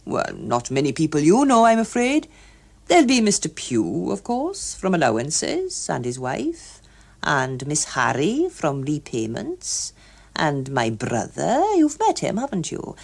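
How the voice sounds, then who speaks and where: A woman reader puts on a middle-aged male clerk for an audiobook. He is cheery and pedantic, explaining things emphatically with small hesitations. The voice is low and husky, with wide swings in pitch. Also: wildly swooping pitch, measured pace, full character voice. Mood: chatty, hesitant. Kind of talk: audiobook character voice.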